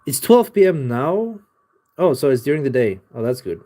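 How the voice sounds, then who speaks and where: A man's voice: mid-range voice, big pitch swings, normal speed, mellow. Rambling young man streaming. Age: young adult. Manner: rambling, loose and profane, with many "like" fillers. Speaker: livestreamer and artist. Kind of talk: casual livestream chat answering viewers. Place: home art studio room.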